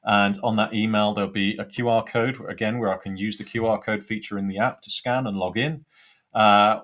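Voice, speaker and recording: Male product demonstrator, lowish pitch, informal step-by-step tutorial delivery. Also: fairly bright tone. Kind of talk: software tutorial walkthrough.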